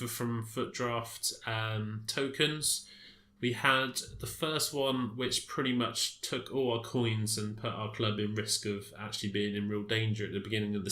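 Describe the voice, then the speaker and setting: Congested man, bright forceful voice, slightly low, echoey room, rambling game commentary. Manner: rambling, many fillers and restarts. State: congested from a cold. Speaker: gaming commentator. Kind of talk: casual gameplay commentary.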